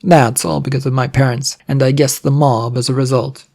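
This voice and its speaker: A man acts out a battered, reluctant young hero in an adventure story. He is wry and sarcastic, rambling and hesitating in a creaky, worn-out voice. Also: medium pitch, fairly even intonation, normal speed, a little mellow. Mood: bewildered.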